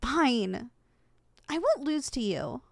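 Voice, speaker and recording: A woman plays a young succubus student with a high, swooping voice. She is possessive and competitive, blunt when indignant and quick to get flustered. The delivery is ASMR roleplay acting, recorded dry and close. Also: very animated, vocal fry, no room sound, heavily acted. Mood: grudging, indignant.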